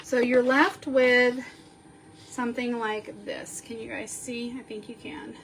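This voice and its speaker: Slow-paced woman teaching card crafting. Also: on the high side, flat delivery, a bit bright, slight background noise, low-quality recording. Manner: slow, chatty, talks while working, counting measurements aloud. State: sniffling. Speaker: card-making instructor. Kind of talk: step-by-step crafting tutorial.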